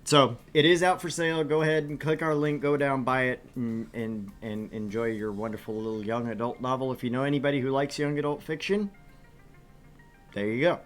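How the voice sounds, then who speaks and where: Middle-aged stream host, mid-pitched voice, rambling and self-mocking, lots of fillers. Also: steady tone, fairly quick, soft background music. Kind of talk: casual stream host talk.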